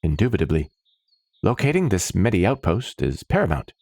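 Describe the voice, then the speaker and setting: A man's voice: sits low, very animated, normal speed, creaky, a little mellow, no echo, exaggerated voice. A man voicing an eager, chirpy woman alchemist doctor in a fantasy story, speaking in precise, wordy scientific jargon. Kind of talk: fantasy story character dialogue.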